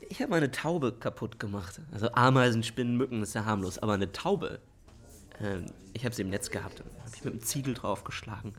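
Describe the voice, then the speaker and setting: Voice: mid-range voice, brisk, natural voice. Actor as cocky teenager, callous, boastful, natural radio drama dialogue. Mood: callous boasting.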